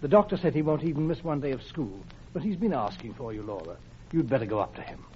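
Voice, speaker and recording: An actor playing a kindly, absent-minded husband in a classic radio drama, with a mild, distracted manner. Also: male voice, mid-range voice, animated, fast, natural voice. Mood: reassuring. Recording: dull, slightly noisy old radio recording.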